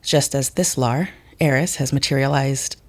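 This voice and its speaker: Actress playing serious woman, composed, measured, formal phrasing, audio drama. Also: very deep voice, steady tone. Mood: solemn.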